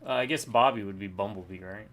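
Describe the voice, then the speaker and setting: A man's voice: lowish voice, quick pace, a bit bright. A man chatting casually while playing a co-op game, joking and thinking aloud. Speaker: co-op game player. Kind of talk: casual gameplay chat.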